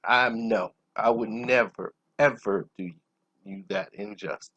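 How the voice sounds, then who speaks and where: A man delivers a casual film review in a slow, creaky voice. He is emphatic and sure of himself, breaking thoughts into short phrases with dramatic pauses. The audio is a little dull. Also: lowish voice, pushed and bright. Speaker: movie reviewer.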